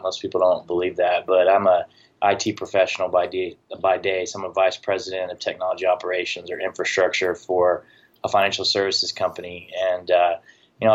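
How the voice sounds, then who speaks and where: A middle-aged man from the American South with a deep voice, talking casually as a podcast guest. He has a dry, joking way about him. The recording is a little dull and lo-fi. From Southern United States, born in Tennessee. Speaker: podcast guest, barbecue business owner. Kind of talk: casual interview chat.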